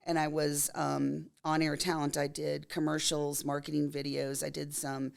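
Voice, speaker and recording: A woman in her fifties from Texas, a makeup artist telling stories in a casual interview, her voice scratchy and hoarse from a cold. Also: low-pitched, little pitch movement, normal speed. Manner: chatty storyteller, laughs often.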